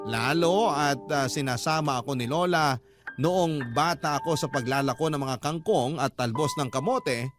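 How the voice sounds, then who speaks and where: A man narrating a scripted first-person radio drama story in a measured, sentimental way. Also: fairly high pitch, lively intonation. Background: prominent music.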